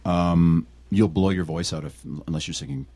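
A man from Canada with a gravelly, loud, projecting voice, chatting as a musician guest in a radio studio. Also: sits low, normal speed. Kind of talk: casual interview chat.

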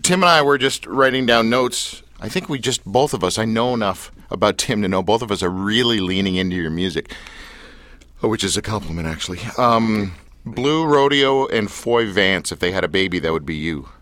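A male radio host with a bright, sharp tone and a lively voice that swings up and down. He teases his guest playfully and sounds close and dry in the studio. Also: lowish voice, moderate pace, dry recording. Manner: playful, teasing and enthusiastic. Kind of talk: casual interview chat.

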